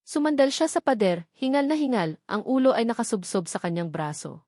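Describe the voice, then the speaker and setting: A woman's voice: on the high side, big pitch swings, brisk, dark tone, dry recording. Woman narrating romantic drama, very dry sound. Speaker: story narrator.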